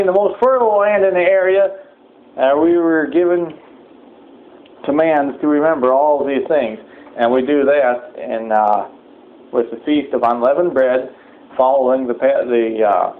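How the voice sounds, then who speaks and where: A man preaching a sermon-like address in a solemn, exhorting manner, with halting hesitations as he goes. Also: on the high side. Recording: thin phone-like sound, clear background noise.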